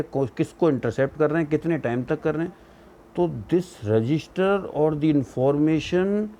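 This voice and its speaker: A man's voice: a little high, mellow. A man giving an informal legal lecture, explaining step by step and often checking that he is followed. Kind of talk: informal legal lecture with English terms mixed in.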